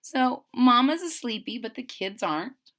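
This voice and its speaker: A woman reads a children's story aloud in a bright, fairly high voice. She is expressive and takes her time, explaining simply with animated pitch. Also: a little slow. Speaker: storybook reader.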